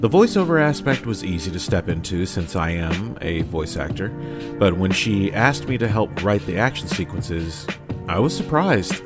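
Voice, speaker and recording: A man with a fairly low voice and very lively intonation speaks over clear background music. He delivers a scripted message like a voice actor, theatrical and playfully mock-grumpy. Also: normal speed. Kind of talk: scripted personal message to listeners. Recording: music behind the voice.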